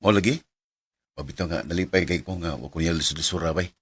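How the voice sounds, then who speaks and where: A man's voice: sits low, quick pace, a bit bright, husky, dry recording, full character voice. A young man playing an earnest, lovestruck suitor who is careful with money, in an amateur radio drama. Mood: cheerful.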